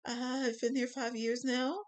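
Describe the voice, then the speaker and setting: A woman's voice: a little high, little pitch movement, slow pace, voice pushed up. A woman voicing a nervous young male cashier accused of stealing in a classroom courtroom skit. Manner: shaky, tearful protesting.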